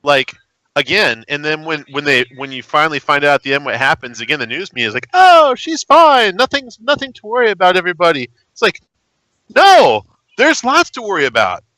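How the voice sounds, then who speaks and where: A man co-hosting a casual gaming podcast, telling a story in an animated, joking way with a baritone voice. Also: brisk, no echo, rough recording. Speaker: podcast co-host.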